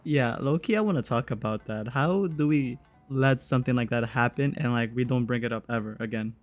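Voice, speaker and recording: A man's voice: medium pitch, brisk, faint music behind. Slangy young man reacting to a game. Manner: slangy, swearing, jokey. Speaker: game streamer. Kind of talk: casual reaction commentary on a game.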